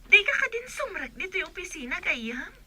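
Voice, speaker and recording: A woman playing an outspoken, lively female friend in a radio drama. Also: extremely high pitch, brisk, heavily acted. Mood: chatty.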